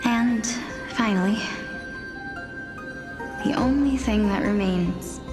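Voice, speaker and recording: Woman voicing a gentle sad young woman, soft, slow, hesitant, game music behind. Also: mid-range voice, close to an everyday voice. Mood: sorrowful. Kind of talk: game voice acting.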